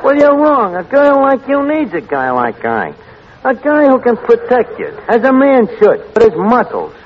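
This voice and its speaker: An actor playing a brash, lovestruck New tavern manager who mangles fancy words, in 1940s radio comedy style. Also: male voice, extremely high pitch, huge pitch swings, normal speed, full character voice. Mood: boastful. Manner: pompous malapropisms, mock-poetic wooing. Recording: noisy, muffled old radio broadcast recording.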